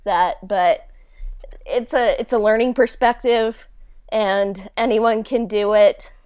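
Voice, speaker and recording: A woman's voice: mid-range voice, steady tone, takes it slowly, darkish tone, clear, resonant tone, no echo. A woman speaking in a casual interview, informal and hesitant, with a self-deprecating manner. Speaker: podcast host and audio drama writer being interviewed.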